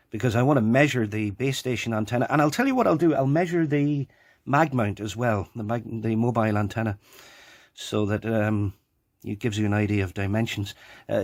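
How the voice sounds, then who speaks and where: A man giving a casual, unscripted hands-on demonstration into a phone, explaining and repeating key points. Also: medium pitch. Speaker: video presenter showing a radio collection. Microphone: handheld phone.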